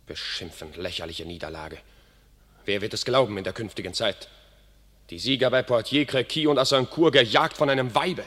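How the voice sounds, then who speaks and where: An actor playing a proud, bitter English lord in a classic radio play, declaiming verse scornfully. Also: male voice, lowish voice, moderate pace, a bit bright. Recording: long hall reverb.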